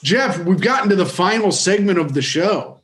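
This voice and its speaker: A man's voice: high voice, animated, normal speed, fairly bright tone. A man co-hosting a comedy podcast, tossing off wry, ribbing quips in casual chat. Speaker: podcast co-host.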